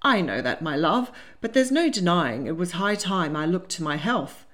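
A woman voicing a hearty, affectionate older gentleman lord in a storybook, jovial and teasing. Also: on the low side, lively intonation, normal speed. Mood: tender.

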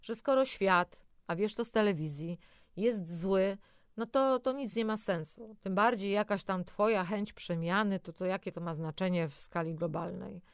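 A woman's voice: mid-range voice, normal speed, smooth, no echo, studio quality. A woman presenting a self-help talk in an encouraging way, speaking directly to the listener, partly from a script with spontaneous asides.

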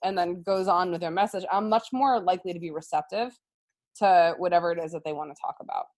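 A woman's voice: fairly low pitch, fast, darkish tone. A young American woman, a sixth grade teacher, speaking as an interview guest in an earnest, explanatory way. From United States. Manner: earnest, explanatory, frequent "um" and "right?". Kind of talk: conversational interview.

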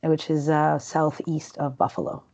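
A low-voiced woman from Peru chats freely as an interview guest, wandering from thought to thought with plenty of fillers and restarts. Her tone is dark and mellow. The recording sounds a bit dull and lo-fi. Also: level tone, unhurried. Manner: chatty and rambling, many fillers and restarts. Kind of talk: casual interview conversation.

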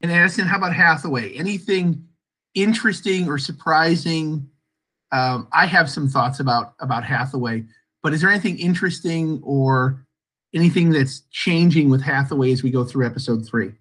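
Bright, husky-voiced man hosting a podcast. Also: fairly high pitch, moderate pace. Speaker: podcast host. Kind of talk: podcast interview with guests.

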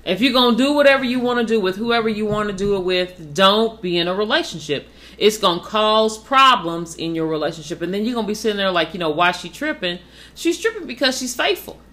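A woman's voice: mid-range voice, lively intonation, a little fast, fairly bright tone. A woman co-hosting a podcast, giving blunt, profane relationship advice in a casual, preachy way. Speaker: podcast co-host.

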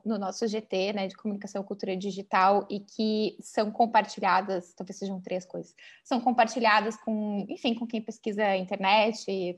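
A woman with a Brazilian accent in an informal academic discussion, speaking as a host who thinks aloud in hedged, halting phrases. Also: medium pitch.

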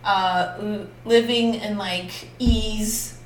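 A woman co-hosting a casual podcast chat, with a nasal voice, speaking softly and often giving short agreeing replies. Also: medium pitch, steady tone, very slow. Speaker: podcast co-host. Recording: roomy echo, faint noise.

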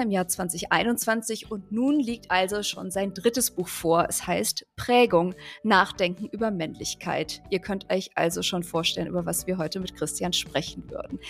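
A woman in her forties who co-hosts a podcast, opening the episode with a clear, upbeat prepared introduction and putting questions to her guest. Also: medium pitch. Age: 40s. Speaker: podcast co-host.

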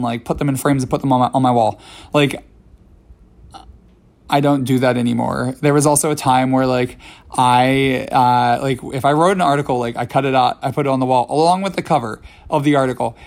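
A man in his thirties, slightly hoarse from a voice that is still recovering, talking casually on his own podcast with plenty of fillers and restarts. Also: mid-range voice, darkish tone. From New York City. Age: 30s. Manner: rambling, many fillers and restarts. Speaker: podcast host. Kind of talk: casual solo podcast talk.

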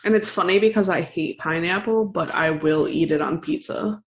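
A woman's voice: on the low side, moderate pace. A woman chatting casually as a podcast co-host. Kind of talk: casual chat.

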